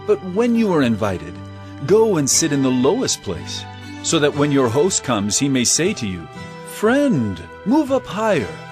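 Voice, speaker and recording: An earnest teacher delivers parables with measured, emphatic oratory. His voice sits a little high and swings widely in pitch, with music clearly behind it, in the manner of an audio drama. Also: wildly swooping pitch. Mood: instructive, earnest. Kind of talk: audio drama Bible acting.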